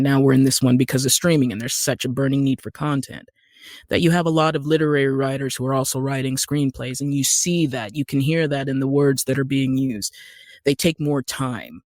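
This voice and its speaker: A man's voice: medium pitch, a little mellow, dry recording. A man talking conversationally on a podcast about his craft, opinionated and emphatic.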